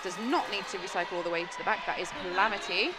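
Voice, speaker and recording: Woman commentator over loud arena noise. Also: fairly low pitch, racing. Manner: earnest, explanatory, grows excited on plays. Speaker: roller derby commentator. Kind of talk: live sports commentary. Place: sports venue.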